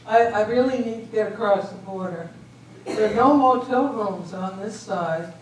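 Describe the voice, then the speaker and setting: A woman's voice: mid-range voice, moderate pace, darkish tone, lightly acted. A woman on stage playing a polite, hesitant but insistent stranded traveller, pleading for help. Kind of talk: amateur readers theater stage performance. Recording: echoey hall from far off, faint noise.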